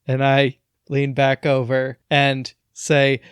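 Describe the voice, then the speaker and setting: Male game player, casual improvised storytelling, smooth clear voice at an unhurried pace. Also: mid-range voice, completely flat delivery, dry recording, clean studio sound.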